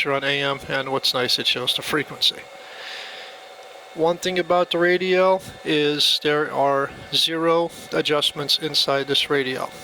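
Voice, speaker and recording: Bright-voiced man giving a hands-on tutorial. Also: a little high, poor audio. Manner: plainspoken, step-by-step explaining. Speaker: product demonstrator. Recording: faint background noise.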